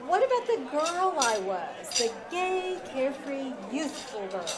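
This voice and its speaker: A woman playing a sharp-tongued, dryly sarcastic schoolteacher in a live old-time radio comedy. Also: sits high, big pitch swings, crowd noise. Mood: wry. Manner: dry, sarcastic quips, brisk. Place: small-town theater stage, live performance. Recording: live theater stage with audience.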